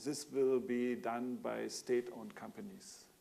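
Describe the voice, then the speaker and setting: A man's voice: medium pitch, fairly even intonation, slow pace, gravelly, warm tone. A man with a German accent speaking as a panelist, hesitant with many fillers and restarts. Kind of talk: panel discussion.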